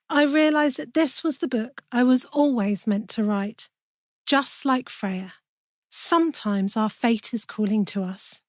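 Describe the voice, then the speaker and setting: A woman's voice: fairly high pitch, dry recording, studio quality. A middle-aged woman with a British accent reading a scripted personal introduction as an author. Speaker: author introducing own audiobook.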